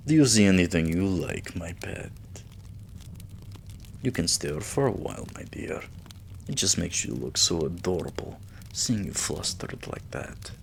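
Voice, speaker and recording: A man playing a doting, possessive vampire king in an intimate ASMR roleplay, breathy and soothing, coaxing with pet names. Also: sits low, animated, unhurried, a little hiss or hum, heavily acted. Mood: teasing, affectionate. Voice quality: breathy, whispery.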